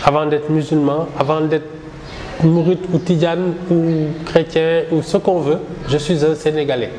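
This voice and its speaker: Slow, reflective man in a roomy space. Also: fairly high pitch, flat delivery, darkish tone, slight background noise. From Senegal. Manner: measured, reflective, gestures a lot. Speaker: satirical newspaper founder. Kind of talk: interview answers. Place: small indoor room with poster backdrop. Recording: roomy with reverb and faint ambience.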